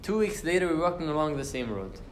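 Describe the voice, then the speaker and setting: A man's voice: on the high side, sing-song, quick pace, reverberant, slight background noise. A young man giving an informal class on the Quran, talking casually with fillers and restarts and reciting Arabic verses. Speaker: Quran study teacher. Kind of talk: informal tafsir class talk. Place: university lecture room.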